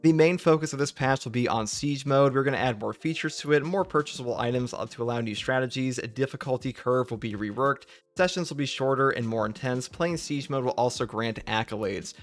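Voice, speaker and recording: A man's voice: medium pitch, fairly quick, few pauses. A man hosting a gaming channel, casually commenting and reading out update notes.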